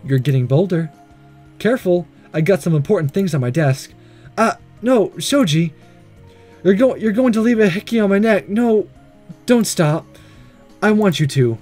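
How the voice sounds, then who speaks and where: A man's voice: high voice, lively intonation, moderate pace, long pauses, a little mellow. Man reading as a coy, flirty female teacher. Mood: teasing, breathless. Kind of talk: visual novel read-aloud. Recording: faint music behind.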